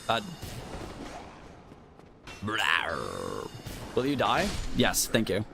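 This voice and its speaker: A man's voice: on the low side, huge pitch swings, extremely slow, gravelly, music in the background. A man from Finland, chatting as a streamer over live gameplay and reading chat aloud, often apologizing.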